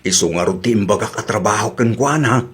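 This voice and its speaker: An actor playing a boastful, scheming young suitor in a comedy radio drama. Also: male voice, lowish voice, fairly quick, fairly bright tone, breathy edge. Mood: insistent. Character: boastful, scheming young suitor lying about his job.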